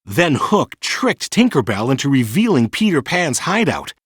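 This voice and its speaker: A man reading a children's storybook in an animated, dramatic storytelling manner. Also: mid-range voice, measured pace, husky, no echo, clean studio sound. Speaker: storybook narrator. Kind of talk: scripted children's storybook narration.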